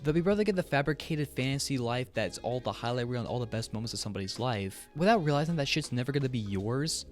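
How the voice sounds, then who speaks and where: A man delivers an earnest, frank commentary with lively, varied intonation and a medium-pitched voice. He talks conversationally, swears casually, and sounds like a video essay host. Music plays softly behind him. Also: fast, few pauses. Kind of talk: opinionated commentary, scripted and conversational.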